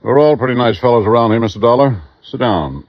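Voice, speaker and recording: A man's voice: mid-range voice, animated, fast talker, no room sound, natural voice. An actor playing a blunt, grieving, rich old man with an American accent in a 1950s radio drama. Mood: blunt, hospitable. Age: older. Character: rich old dying millionaire, gruff, grieving his son. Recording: dull vintage radio recording.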